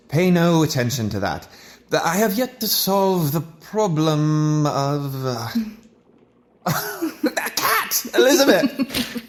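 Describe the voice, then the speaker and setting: An actor playing an obsessed young gentleman scientist in an audio drama, speaking with fervent, formal, declamatory diction. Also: a little high. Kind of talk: theatrical audio drama acting.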